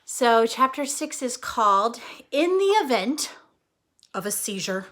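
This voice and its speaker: A woman reading a story aloud to children like a teacher, expressively, pausing to explain things simply and check in with her listeners. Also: on the high side, sing-song, fairly bright tone. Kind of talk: animated read-aloud storytelling with explanatory asides.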